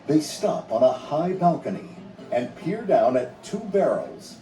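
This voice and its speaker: A man's voice: fairly high pitch, sing-song, unhurried, dark tone, slight background noise. A man reading a children's read-along story in a dramatic, scripted storytelling manner. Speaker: narrator. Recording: roomy, distant sound under music.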